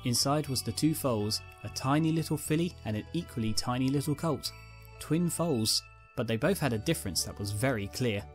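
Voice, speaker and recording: A woman's voice: rumbling low, animated, moderate pace, faint music behind. A woman narrating a scripted fan fiction story as its reader.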